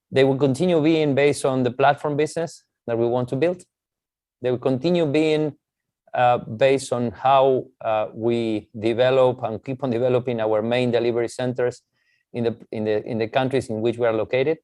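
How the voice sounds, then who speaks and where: A man's voice: medium pitch, a little mellow, no room sound, studio quality. A man answering as a company executive on an earnings call, in hesitant, piecemeal phrases.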